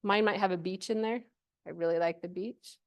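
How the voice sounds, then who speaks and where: A woman's voice: medium pitch, fairly even intonation, brisk. A woman giving an informal workshop presentation to a live group, chatty and encouraging, often checking in with questions.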